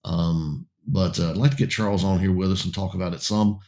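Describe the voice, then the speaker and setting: Male talk-show host, deep low register, hoarse and fading voice, halting delivery with lots of ums, blunt opinions. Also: fairly even intonation, mellow. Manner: halting, many fillers and restarts; blunt and emphatic. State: losing his voice, getting raspy.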